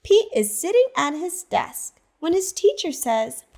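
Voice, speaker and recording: A woman, a teacher librarian, reading a picture book aloud to children and stopping to ask them questions. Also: extremely high pitch. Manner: slow, expressive storytelling that asks the listener questions.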